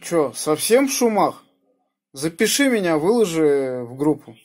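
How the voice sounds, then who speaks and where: A man's voice: fairly high pitch, very animated, a little slow, background noise. A man talking casually as an amateur radio operator, indoors in a small room. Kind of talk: casual ham radio chat.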